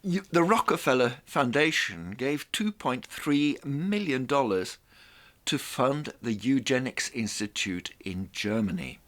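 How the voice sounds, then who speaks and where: A man presenting a reflective monologue in a theatrical, deliberate way, with rhetorical asides. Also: mid-range voice, animated, a bit bright.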